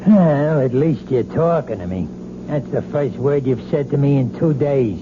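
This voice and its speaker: A gruff, sarcastic husband acted by a man in a 1970s radio play. He is blunt and bullying, heard on a dull old broadcast recording with plenty of noise. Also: medium pitch, measured pace, lightly acted. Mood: sour, needling. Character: surly, jealous, bullying husband, grudging and blunt. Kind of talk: 1970s radio drama acting.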